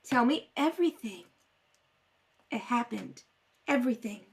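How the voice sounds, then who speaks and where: A woman playing a calm, soothing confidante who questions gently, in an old-time radio drama. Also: fairly high pitch, a little slow, pitched below the performer's own voice. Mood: soothing, concerned. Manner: gentle, reassuring. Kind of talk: 1930s radio drama acting.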